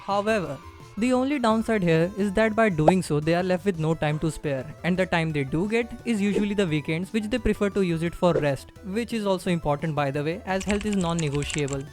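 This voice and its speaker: A man's voice: high-pitched, fairly quick, faint music behind. A man from India with a strong Indian accent, narrating a scripted explainer and addressing the viewer directly.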